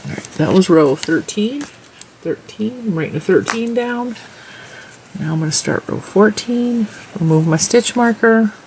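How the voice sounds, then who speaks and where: A woman with a stuffy, congested nose talks casually while she crochets and counts off her stitches and rows. Her voice has a gravelly fry, and a faint hiss of noise sits behind it. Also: medium pitch, lively intonation, measured pace, a little mellow, rough recording. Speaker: crochet tutorial host. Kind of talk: casual crochet tutorial with chat.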